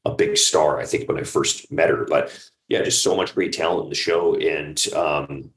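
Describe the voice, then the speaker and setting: A man's voice: rumbling low, fairly even intonation, moderate pace. A man chatting casually and enthusiastically as an interview guest, rambling with plenty of "like" and "you know". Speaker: audio drama creator and producer, guest. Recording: echoey room.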